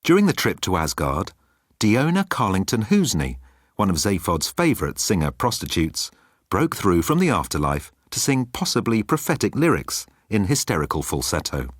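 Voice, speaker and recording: A man's voice: fairly low pitch, huge pitch swings, normal speed, no room sound, clean studio sound. A man reading comic scripted narration as an audiobook narrator.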